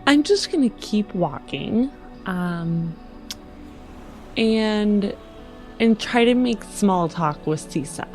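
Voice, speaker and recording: A woman's voice: mid-range voice, animated, slow pace, long pauses, soft background music, poor audio. A woman playing a tabletop roleplaying game, improvising casually and thinking aloud as she goes.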